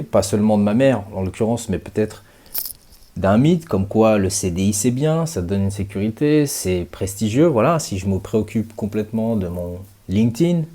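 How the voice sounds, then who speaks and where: A man in his late thirties giving a reflective, measured monologue to his viewers, pausing often and stretching out words. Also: on the low side, mellow. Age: 30s. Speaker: channel host.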